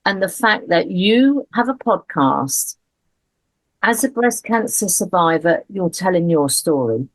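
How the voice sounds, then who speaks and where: A woman hosting a podcast interview, speaking in a measured, empathetic way. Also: on the low side, animated, dry recording. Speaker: podcast host. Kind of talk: conversational interview.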